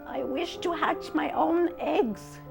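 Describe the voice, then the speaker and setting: Stubborn mother duck for a storybook tale, played by a woman; high, slow, dramatic delivery. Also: gravelly, over music, full character voice. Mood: determined, defiant.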